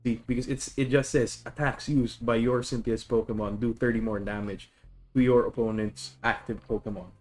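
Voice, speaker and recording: A man's voice: medium pitch, steady tone, moderate pace, darkish tone. A man with a Filipino accent chatting casually on a live stream, explaining a card deck, often correcting himself and greeting viewers. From Philippines.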